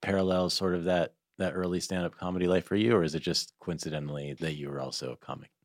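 A man's voice: low voice, fairly even intonation, fast, dry recording. A man hosting a casual podcast interview in a studio, asking informal, rambling questions.

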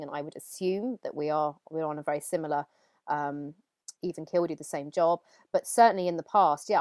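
A woman's voice: on the low side, no room sound, studio quality. A woman chatting as a guest, earnest and hesitant, with many ums. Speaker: TV presenter, podcast guest. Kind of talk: casual chat.

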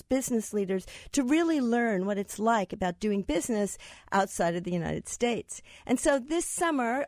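Lady radio host, slightly high voice, chatty, asks lots of follow ups, dry sound. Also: darkish tone, dry recording. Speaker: radio show host and business professor. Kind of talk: radio interview.

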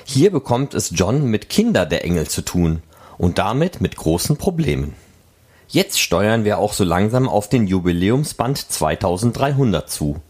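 Male podcast host, lowish register, highly varied melody, scripted announcement read. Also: sing-song, normal speed, darkish tone, slightly rough. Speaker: podcast host reading fan news.

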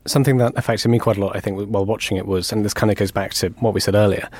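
A man's voice: fairly low pitch, steady tone, very fast, a little mellow, no echo, studio quality. A man with a British accent hosting an interview, asking thoughtful, slightly hesitant questions. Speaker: podcast host, interviewer.

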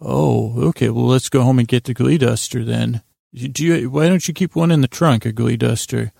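A man voicing the Tooth Fairy's homebody husband, halting and mildly exasperated, in an improvised podcast skit. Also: medium pitch, creaky, dark tone, slightly rough, no echo, studio quality.

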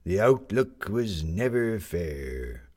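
A man voicing an elderly sailor with a pipe, slow and disapproving. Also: sits low, sing-song, a little mellow, breathy edge, heavily acted. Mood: weary.

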